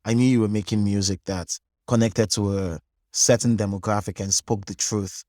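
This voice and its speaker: A man's voice: fairly low pitch, steady tone, moderate pace, warm tone, dry recording, clean studio sound. A man, a podcast host making a careful, articulate argument. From Nigeria. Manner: articulate, argumentative, builds points in lists. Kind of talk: thoughtful interview talk.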